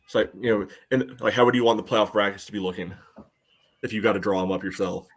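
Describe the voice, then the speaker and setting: A man's voice: lowish voice, fairly even intonation, fast talker. A man co-hosting a soccer podcast, talking through analysis in a casual, dry, wry way. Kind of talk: casual sports analysis chat.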